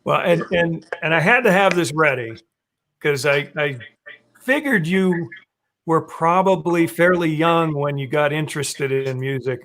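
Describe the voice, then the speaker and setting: A hoarse man hosting a casual live-stream show, rambling a little and reading out viewer comments. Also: on the high side, a little slow, a bit bright. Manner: rambling, laughs often, reads viewer comments. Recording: faint background noise.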